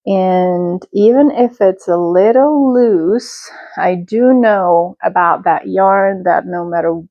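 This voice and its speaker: Slow, gravelly-voiced woman. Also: fairly low pitch, dry recording. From Europe. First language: Czech. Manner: slow, unhurried, chatty with small self-corrections. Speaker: knitting podcast host. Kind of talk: casual show-and-tell chat. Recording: slightly dull, dry lo-fi sound.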